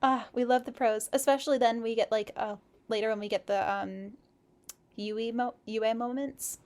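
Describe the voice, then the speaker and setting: A woman reading a story aloud expressively, in the relaxed, chatty way of a casual co-host. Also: fairly high pitch, normal speed. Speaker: co-host of a fan fiction read-aloud stream.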